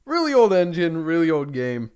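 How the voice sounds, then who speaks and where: A man co-hosting a podcast in casual gaming chat, with a hesitant, halting delivery. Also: a little high, very animated, a little mellow, clean tone, muffled recording. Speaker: podcast co-host.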